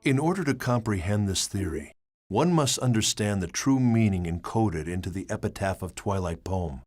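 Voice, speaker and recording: An actor playing a researcher who soberly recounts a virus crisis in measured, expository narration for a game. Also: male voice, fairly low pitch, lively intonation, darkish tone. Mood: grave, explanatory. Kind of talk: scripted narration.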